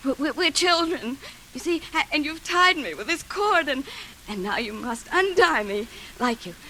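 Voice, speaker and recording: A woman's voice: high voice, expressive, moderate pace, sharp tone, slight background noise. An actress playing a frightened captive sister in a 1940s radio thriller, pleading and recounting her ordeal dramatically. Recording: vintage radio recording.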